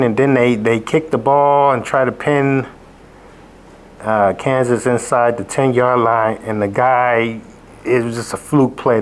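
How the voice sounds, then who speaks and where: A hoarse man, sick, hosting a casual college football betting recap, rambling with many fillers and restarts. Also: mid-range voice, a little hiss or hum. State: hoarse from illness. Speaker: sports betting show host. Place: abroad, indoors.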